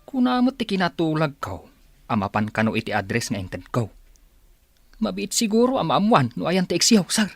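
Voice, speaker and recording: A man's voice: fairly high pitch, big pitch swings, normal speed, vocal fry, no room sound, studio quality, exaggerated voice. A man acting in a radio drama as a polite, eager assistant reporting to his boss. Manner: polite, deferential.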